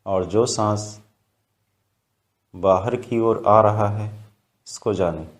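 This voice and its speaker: A man guiding a meditation in Hindi with calm, measured instructions. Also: fairly low pitch. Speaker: meditation guide.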